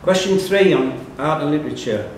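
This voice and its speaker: Male quiz question reader, reading aloud live; very reverberant pub room, faint noise. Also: moderate pace. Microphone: distant room microphone. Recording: echoey room, distant mic, faint rumbling noise.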